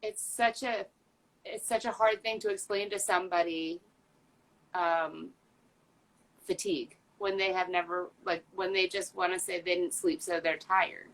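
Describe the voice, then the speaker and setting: A woman's voice: fairly low pitch, steady tone, a little slow, fairly bright tone, poor audio. A woman in her fifties talking candidly as an interview guest, earnest and chatty, with frequent fillers and restarts. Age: 50s. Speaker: patient advocate guest.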